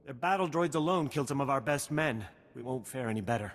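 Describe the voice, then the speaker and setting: A man's voice: medium pitch, animated, fast, big-room sound, close to an everyday voice. A man voicing a fearful, agitated frontier militiaman in a video game. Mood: grim warning.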